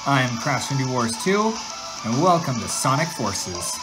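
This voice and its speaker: A woman with a very low voice chats casually while playing a game. She thinks aloud hesitantly, her pitch moving in lively swings over loud game music. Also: measured pace. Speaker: video game player and commentator. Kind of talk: casual gameplay commentary.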